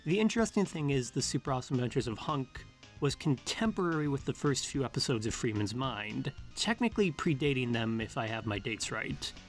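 Male narrator for analytical video essay, formal and measured, mid-pitched, faint music underneath. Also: expressive.

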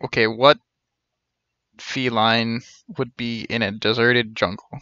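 Bright-voiced man streaming a game. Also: mid-range voice, flat delivery, takes it slowly, clean tone, dry recording, dull audio. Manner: joking, wry. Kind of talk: casual live game commentary.

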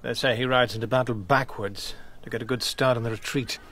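A man's voice: mid-range voice, fairly even intonation, fairly quick, a bit bright, a little hiss or hum, natural voice. A male actor as a battle-seasoned knight in a radio drama. He speaks in a mid-range voice, dry and plain, loyal in tone, with faint ambience behind. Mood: dry, reflective. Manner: dry, matter-of-fact.

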